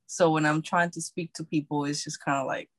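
Soft-spoken young New actress, low voice, dry close recording, casual answers. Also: female voice, fairly even intonation, fairly quick, no echo. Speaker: actress, interviewee. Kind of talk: casual interview.

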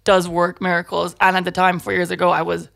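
A woman in her twenties, Italian-born and speaking with an American accent, chatting fast and loosely as a podcast guest and laughing at her own slips. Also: on the low side, completely flat delivery, vocal fry, a bit bright. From Italy. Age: 20s. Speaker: podcast guest, music collective organizer. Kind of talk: casual podcast interview.

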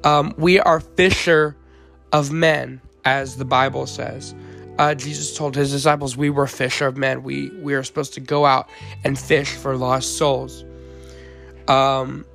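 A teenage boy talks through a faith podcast in an earnest, unpolished way, with plenty of ums along the way. His voice is hoarse from the flu and sits at a middle pitch. Soft guitar plays faintly underneath. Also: flat delivery, a little slow. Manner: earnest, with frequent ums and uhs. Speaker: podcast host.

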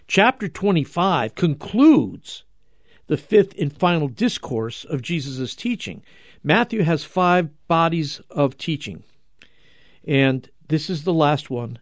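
Male religious commentary host, emphatic and deliberate, noticeably creaky voice, very dry studio-like sound. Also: fairly high pitch, no echo. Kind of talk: religious commentary with dramatic scripture reading.

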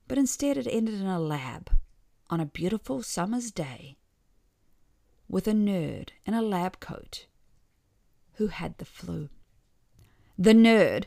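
A woman reading a scripted first-person short story with wry expression, letting some phrase endings drop softly. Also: medium pitch, no room sound, clean studio sound.

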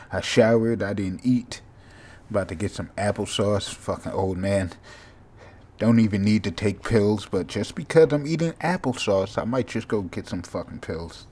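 A sleepy young man with a monotone, mumbly voice, hosting a rambling, profane solo podcast. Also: lowish voice, unhurried. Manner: casual, profane, drifting from thought to thought. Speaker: podcast host.

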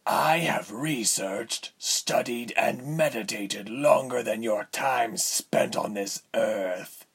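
A man voicing a condescending villain lord in a fantasy story, measured and smooth in manner with a breathy, husky tone. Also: mid-range voice, a bit bright, pitched above the performer's own voice, full character voice. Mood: persuasive. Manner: measured, silky, haughty.

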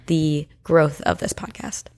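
A woman's voice: deep voice, little pitch movement, slow, darkish tone. A woman co-hosting a podcast in a casual, chatty style. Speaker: podcast co-host. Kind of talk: casual chat.

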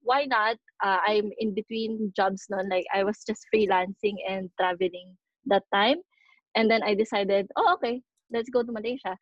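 Chatty Filipino woman, slightly high voice. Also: dry recording, dull audio. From Philippines. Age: 30s. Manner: chatty storyteller, frequent ums and laughs. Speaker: podcast co-host. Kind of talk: casual chat mixing English and Tagalog.

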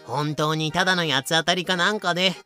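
A woman plays a cheeky young character in an audio drama. The voice is bright and forceful, fairly low for a woman, with theatrical, playful delivery over light music. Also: brisk. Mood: reactive. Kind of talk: Japanese audio drama acting.

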